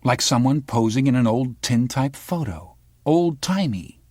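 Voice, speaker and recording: A man reading aloud and voicing a sharp-tongued young woman reporter who fires pointed, needling questions. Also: medium pitch, animated, measured pace, breathy edge, no room sound, clean studio sound, pitched above the performer's own voice. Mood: probing.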